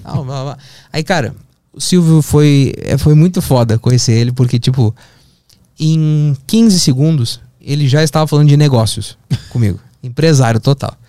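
A man's voice: mid-range voice, normal speed, dark tone, dry recording, studio quality. A man with a Porto Alegre accent from southern Brazil, telling an anecdote casually and expressively, with slang and acted-out dialogue. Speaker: podcast guest, television producer and director.

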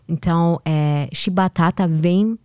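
A woman from Iran with a strong Persian accent, a podcast guest answering questions haltingly in a language not her first. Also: lowish voice, warm tone, clean tone, no echo, studio quality. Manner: halting, searching for words. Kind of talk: casual interview answers.

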